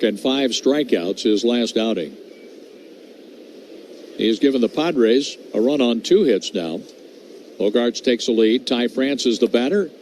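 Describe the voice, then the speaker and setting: Man, baseball play-by-play, mid-pitched voice, fluent measured delivery, noisy outdoor broadcast. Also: darkish tone. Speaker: play-by-play radio announcer. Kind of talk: live baseball radio commentary. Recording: clear background noise at ballpark.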